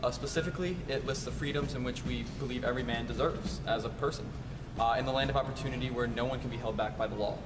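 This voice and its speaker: A man's voice: medium pitch, steady tone, fast, over loud music, lightly acted. A man playing an earnest, resolute colonial statesman, giving formally worded, scripted interview answers. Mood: matter-of-fact. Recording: noisy amateur outdoor recording, traffic.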